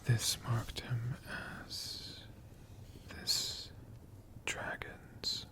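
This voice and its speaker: An ASMR storyteller whispering a tale in a hushed, lingering manner, pausing and repeating words. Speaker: ASMR storyteller, channel host.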